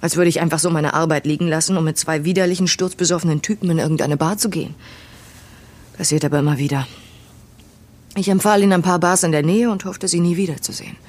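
A woman playing a weary waitress recounting her past in measured, bitter tones in a German audio drama. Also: lowish voice, fairly even intonation, darkish tone, lightly acted. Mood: disgusted, weary. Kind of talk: audio drama narration and dialogue.

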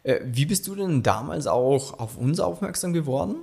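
A man's voice: on the high side, lively intonation, normal speed, vocal fry, a little mellow, breathy edge. A man, a fitness coach, hosting an interview and prompting his guest with many affirming murmurs. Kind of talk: podcast interview.

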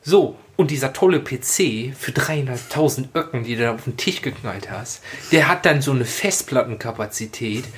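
A man in his late thirties with an average-pitched voice. He hosts a casual, humorous podcast and tells stories with colloquial swearing and mock drama. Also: expressive, normal speed, few pauses, a bit bright. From Germany, Rhineland. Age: late 30s. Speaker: podcast co-host. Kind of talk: casual humorous chat, anecdotes.

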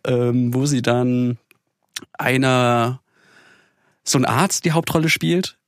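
A man's voice: medium pitch, takes it slowly, clear, resonant tone, no echo, studio quality. A young man in his twenties co-hosting a podcast in casual chat, playful and joking, who grew up bilingual. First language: bilingual upbringing. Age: 20s. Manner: playful, jokey, sings silly bits. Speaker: podcast co-host.

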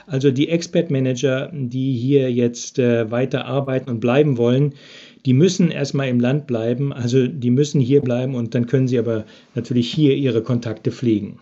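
Male business expert for a podcast interview, halting delivery with many fillers, dark mellow tone, muffled lo-fi line. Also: medium pitch, steady tone. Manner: hesitant, frequent filler sounds.

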